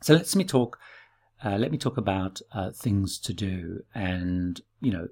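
Rambling, low-voiced male travel host. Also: lively intonation, measured pace, a little mellow. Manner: rambling, many fillers and restarts. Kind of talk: casual spoken travel tips.

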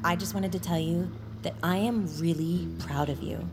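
A woman voices a warm-hearted support group leader in an audio drama, friendly and encouraging, with a slightly low voice. Music plays under her lines. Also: normal speed, natural voice.

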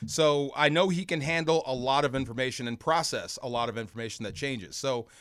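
A man's voice: mid-range voice, a little fast, a bit bright, clear, resonant tone, dry recording, clean studio sound. An American man giving conversational football analysis as a sports show guest, explaining his points with examples.

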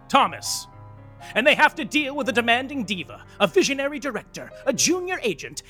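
A man's voice: high-pitched, sharp tone, exaggerated voice. A man playing a gossipy, teasing narrator of an audio comedy, arch and playfully sarcastic in a theatrical way. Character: gossipy, teasing, catty storyteller of backstage Broadway.

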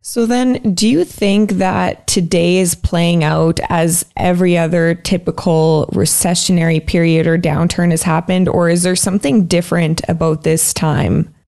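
A woman's voice: fairly low pitch, fairly even intonation, a little slow, few pauses, darkish tone. A woman hosting an interview, putting questions in a conversational style. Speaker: podcast host, interviewer.

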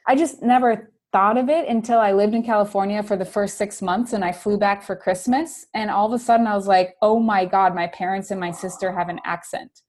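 A young woman with a soft Wisconsin accent, telling stories in a quick, chatty way packed with "like" fillers. She is a guest on a relaxed podcast chat. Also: mid-range voice. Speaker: guest scientist.

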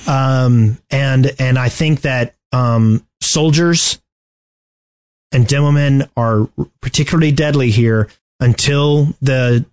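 A man co-hosting a casual video game discussion, choosing his words in a halting, deliberate way. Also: mid-range voice, a little mellow, poor audio. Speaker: podcast co-host.

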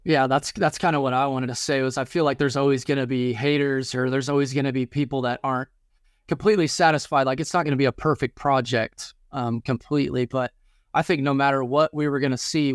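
A man's voice: medium pitch, smooth, no echo, studio quality. A man co-hosting a casual sports talk show in an agreeable, conversational manner. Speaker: sports podcast co-host.

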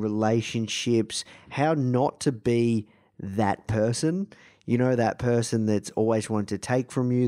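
Hoarse Australian podcast host. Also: male voice, lowish voice, darkish tone. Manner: upbeat, fast, filler-heavy with many "uh"s. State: croaky, hoarse voice. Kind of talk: casual podcast intro monologue.

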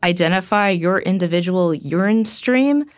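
A woman's voice: lowish voice, dry recording, clean studio sound. A woman tech reviewer chatting animatedly as a podcast guest, a little sniffly. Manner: animated, quick asides with laughs. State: slightly sniffly after a cold. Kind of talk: casual podcast chat.

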